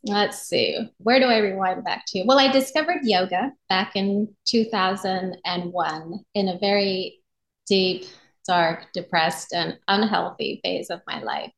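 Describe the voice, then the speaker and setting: A woman's voice: mid-range voice, a little slow, vocal fry. A middle-aged woman with an American accent, telling her personal story in an earnest, articulate, reflective way as an interview guest. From Japan. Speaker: yoga and meditation instructor, podcast guest. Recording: roomy echo on voice.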